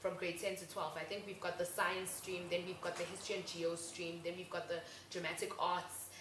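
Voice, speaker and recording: A woman's voice: on the low side, fairly quick. Young South African woman, chatty show co-host, echoey room, faint hiss. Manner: lively, chatty, agreeable. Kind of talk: casual chat.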